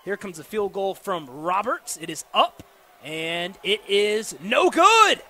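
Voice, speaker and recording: An American man calling live football play-by-play on the radio with excitable, dramatic delivery. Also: high voice, slow pace. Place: football stadium during a live game. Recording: noisy live stadium broadcast.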